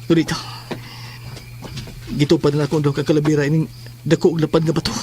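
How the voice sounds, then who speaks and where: A man's voice: a little high, little pitch movement, quick pace, natural voice. A man with a Filipino accent playing a protective man in a horror audio drama. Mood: hushed urgency. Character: protective man leading a midnight ritual. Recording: noisy track with night insects.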